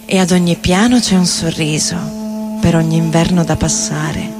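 A woman giving a theatrical recitation of poetry in deliberate, short phrases. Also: lowish voice. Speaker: theatre reader and vocal performer. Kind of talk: poetic theatrical recitation over music. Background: experimental guitar and electronic loops.

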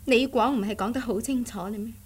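High-voiced, stubborn stern aunt. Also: female voice, fast, darkish tone, breathy edge. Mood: guarded. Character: guarded, stubborn aunt of the martial-arts world. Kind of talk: Cantonese radio drama acting.

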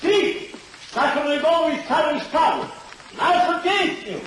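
An actor playing an exuberant, hard-drinking young rake in a theatrical 1950s radio play. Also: male voice, very high-pitched, expressive, unhurried, a little hiss or hum, exaggerated voice. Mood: merry. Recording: roomy 1950s radio recording, loud music.

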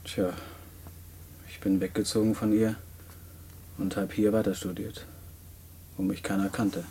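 An earnest, hard-up student behind bars in a radio play. His young voice is low and husky, his manner hesitant, polite and naive. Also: flat delivery, measured pace, mellow, full character voice. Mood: sheepish. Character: earnest, hard-up nineteen-year-old male student in prison. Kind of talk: post-war German radio play dialogue.

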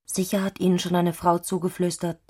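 Intense, eccentric young woman, lowish voice. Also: flat delivery, brisk, a little mellow, breathy edge. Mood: provocative. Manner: earnest, insistent. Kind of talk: radio play acting.

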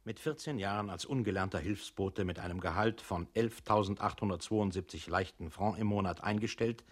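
An actor playing a dry bureaucratic narrator in a radio play, speaking in a formal, measured, officious way. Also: male voice, fairly low pitch, close to an everyday voice.

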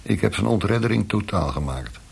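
An actor in a radio drama playing a smug, callous interrogator with a chatty, suggestive manner. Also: male voice, on the low side, lively intonation, a little fast, creaky, a little hiss or hum. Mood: smug, intimate. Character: smug, callous male interrogator, calmly cruel.

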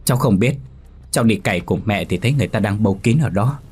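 A man's voice: fairly low pitch, animated, a little mellow, husky, soft background music. A man voicing a nervous young village lad who blurts out and stammers. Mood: scared. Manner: hurried, stammering.

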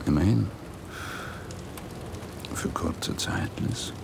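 An actor playing an exhausted, obsessed police detective, intimate and brooding, in a naturalistic radio drama. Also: male voice, low voice, very slow, full character voice. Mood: quiet, wistful. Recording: clear rain and ambience noise.

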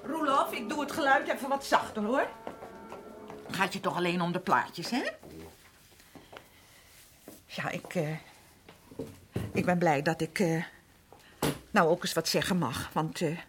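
A woman's voice: fairly low pitch, a little slow, long pauses, over music, close to an everyday voice. An actress playing a flustered middle-aged working-class housewife and protective mother who rambles and hesitates in colloquial speech, in a naturalistic radio drama. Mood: chatty, then confiding, uneasy. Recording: faint noise and clicks, old radio drama.

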